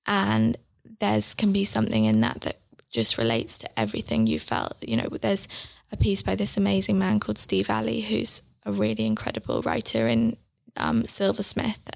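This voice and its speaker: A woman's voice: medium pitch, sing-song, normal speed, creaky. A young adult woman speaking earnestly and reflectively as an interview guest, with frequent "like" fillers. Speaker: book editor and guest. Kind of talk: interview conversation.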